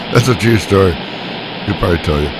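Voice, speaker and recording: A man's voice: fairly low pitch, lively intonation, moderate pace, heavy background noise. A man chatting and telling stories casually and wryly over CB radio. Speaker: CB radio operator. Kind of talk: casual chat, storytelling. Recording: CB radio audio with background sound.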